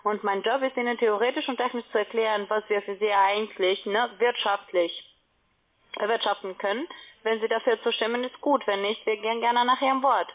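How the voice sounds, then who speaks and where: A woman's voice: fairly high pitch, steady tone. Insistent saleswoman on a tinny phone. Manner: insistent, formal, halting non-native phrasing. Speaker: call centre telemarketer. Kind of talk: telephone sales pitch. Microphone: telephone call. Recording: narrow, tinny phone line.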